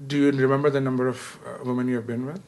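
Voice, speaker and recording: A man's voice: mid-range voice, level tone, rapid-fire, darkish tone, a little hiss or hum. A man hosting an inspirational talk show who reads a scripted introduction and then interviews the guest, affirming him and restating what he says with frequent mm-hmms.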